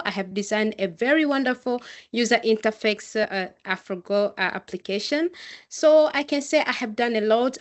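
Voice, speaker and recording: A woman from Tanzania with a Tanzanian accent, giving a semi-formal spoken presentation as an intern. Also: medium pitch. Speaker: intern, energy engineer.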